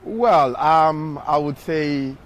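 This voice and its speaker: A man being interviewed outdoors, explaining slowly with frequent pauses and fillers. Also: on the high side. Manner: hesitant, many pauses and fillers. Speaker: interview guest. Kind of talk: street interview answers. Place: outdoors on a city street outside a court. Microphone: handheld mic from the streamer.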